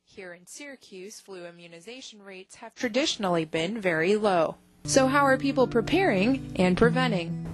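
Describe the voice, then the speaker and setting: A young adult woman reporter and anchor reading scripted news in a crisp, measured way. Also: mid-range voice, lively intonation, soft background music. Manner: crisp, measured newsreader delivery.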